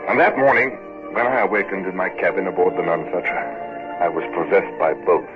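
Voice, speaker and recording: An actor playing a brooding, irritable naval commander, narrating and speaking in a clipped, cultured manner in an old radio drama. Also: male voice, low voice, steady tone, a little fast, music in the background, lightly acted. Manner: clipped, impatient, cultured English delivery. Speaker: narrator and lead. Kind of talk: 1940s radio drama acting. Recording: tinny old radio broadcast.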